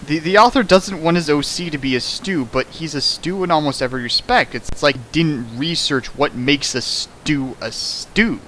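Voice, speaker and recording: A man's voice: a little high, normal speed, hardly any pauses, sharp tone, a little hiss or hum. A man hosting a casual critical commentary, speaking emphatically and jokily and repeating himself for effect. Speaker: host of a dramatic fan fiction reading. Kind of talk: casual critical commentary, partly read from a script.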